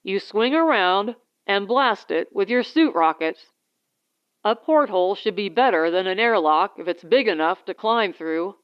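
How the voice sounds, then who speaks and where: A woman's voice: medium pitch, expressive, measured pace, smooth, highs cut off, lightly acted. A woman voicing a protective husband who captains a small spaceship, giving blunt instructions in an audiobook story. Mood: matter-of-fact.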